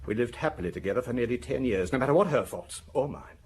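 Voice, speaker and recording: An actor playing a weary, defensive husband under police questioning in a radio drama, speaking hesitantly and explaining himself in broken sentences. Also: male voice, mid-range voice, lively intonation, quick pace, darkish tone, slightly rough. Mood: guarded.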